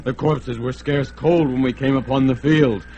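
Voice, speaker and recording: An actor playing a loyal northern warrior in a radio drama, speaking earnestly and urgently. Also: male voice, mid-range voice, steady tone, normal speed, dark tone, lightly acted. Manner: earnest, urgent, archaic speech. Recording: dull lo-fi old recording.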